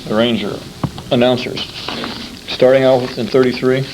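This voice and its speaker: A man's voice: mid-range voice, flat delivery, normal speed. A man asking informal interview questions, hesitant and groping for words. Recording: noisy 1980s cassette interview, clicks.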